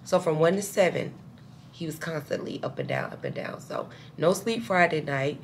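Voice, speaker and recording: A woman's voice: low voice, huge pitch swings, normal speed, a little hiss or hum. An older woman, worn out and chatting casually to her camera in a hotel room. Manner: chatty, says y'all a lot. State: tired. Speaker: vlogger. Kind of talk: casual vlog chat to camera.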